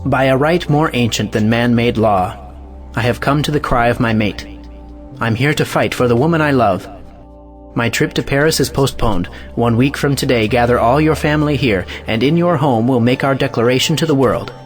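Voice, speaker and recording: An impassioned romantic hero , acted by a man, declaims with resolve in a stage drama. His voice is medium in pitch, with faint music behind. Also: normal speed, lightly acted. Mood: fervent. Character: impassioned, righteous male lover defying convention. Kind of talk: audio play acting of an old stage drama.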